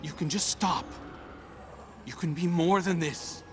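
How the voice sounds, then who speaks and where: An actor playing a weary, guilt-ridden, earnest hero in a video game, with a hesitant, naturalistic delivery. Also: male voice, on the high side, measured pace, noisy background, natural voice. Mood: pleading. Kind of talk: dramatic video game acting.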